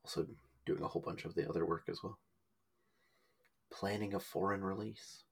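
Deep husky gravelly man. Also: steady tone, moderate pace. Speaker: podcast co-host. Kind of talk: casual movie chat.

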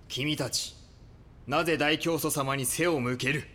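An actor playing a cold, fanatical cult enforcer, stern and preachy with polite menace, in an audio drama. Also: male voice, a little high, fairly even intonation, a little slow, a bit bright. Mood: cold, righteous. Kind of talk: Japanese audio drama acting.

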